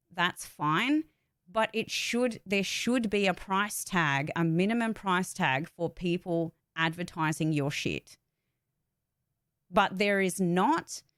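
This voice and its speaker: A woman's voice: mid-range voice, a bit bright, dry recording, clean studio sound. A woman talking casually and candidly to her viewers, giving opinions emphatically and with some swearing. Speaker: vlogger, actor and voice-over artist.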